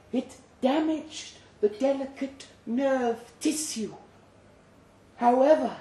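A man voicing a sinister, condescending Frankenstein's monster doctor in a dramatic story reading, rasping, precise and deliberate. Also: very high voice, mellow, voice pushed up. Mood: lecturing, clinical. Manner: slow, deliberate, precise, patronising. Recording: echoey live room.

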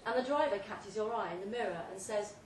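A woman giving an emphatic, deliberately phrased dramatic reading of a second-person short story at a live event. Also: medium pitch. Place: indoor pub event, before an audience. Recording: roomy live pub recording, distant mic, faint noise.

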